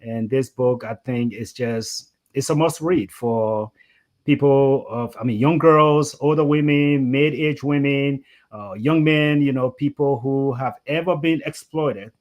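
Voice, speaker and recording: A man's voice: medium pitch, unhurried. Guy hosting a chatty interview show, kinda rambles, lots of you knows. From Liberia. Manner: rambling, repeats "you know". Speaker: talk show host. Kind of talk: conversational interview.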